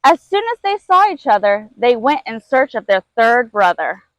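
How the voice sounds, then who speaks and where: A woman's voice: on the high side, very animated, normal speed, no room sound. A woman reading a children's storybook aloud as a narrator. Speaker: zoo keeper reading a story.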